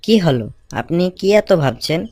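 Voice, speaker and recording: A man's voice: a little high, expressive, moderate pace, darkish tone. Man voicing a courteous, earnest talking snake. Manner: courteous, entreating. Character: polite, earnest talking snake seeking a bride.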